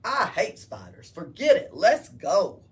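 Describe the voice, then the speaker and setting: A woman voicing a blunt, gruff male soldier in a storybook, dismissive and emphatic. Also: mid-range voice, very animated, slow pace, voice pushed up. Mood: disgusted. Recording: distant room mic with background noise.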